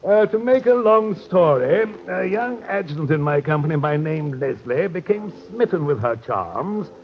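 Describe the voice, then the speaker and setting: An actor playing a genteel, roguish British captain, pompous and long-winded, in an old radio detective drama. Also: male voice, on the high side, animated, moderate pace. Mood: pompous storytelling. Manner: pompous, blustering, flowery phrasing. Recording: muffled old radio recording, faint noise.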